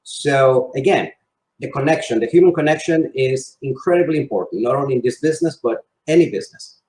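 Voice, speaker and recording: A man's voice: medium pitch, fairly quick, darkish tone. Man in a roomy space. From Venezuela and Spain. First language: Spanish. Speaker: interview guest, real estate salesman. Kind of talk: conversational interview answers. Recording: roomy, echoing sound.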